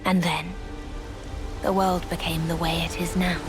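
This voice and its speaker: An actress playing a solemn, knowing woman who speaks in a measured, grave, portentous way in a video game drama. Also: lowish voice, little pitch movement, vocal fry, over music. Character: solemn, knowing woman revealing dark truths.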